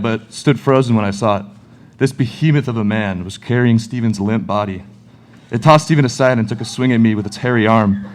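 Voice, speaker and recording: A young man playing a cocky musician in a radio play. He has a mid-pitched voice and tells his monster story with dry, slangy delivery. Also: lightly acted. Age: young adult.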